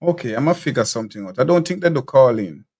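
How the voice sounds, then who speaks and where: A man's voice: mid-range voice, expressive, fast talker, a little mellow. Blunt Jamaican man hosting a talk show. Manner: blunt, combative replies to commenters; loose, repeats and restarts phrases. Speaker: show host. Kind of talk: live talk show, reading viewer comments aloud.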